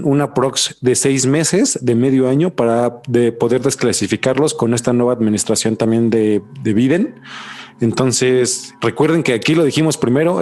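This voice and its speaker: A man with a Mexican accent hosting a podcast in casual chat, slangy and animated, repeating words for emphasis. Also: mid-range voice. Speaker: podcast host.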